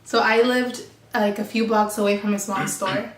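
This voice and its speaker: A woman's voice: mid-range voice, steady tone, moderate pace. A woman in her early twenties from Mexico, co-hosting a casual Q&A vlog while sick, chatty and giggly. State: sick, voice affected. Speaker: vlog co-host. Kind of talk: casual Q&A chat. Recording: echoey room, far from the mic.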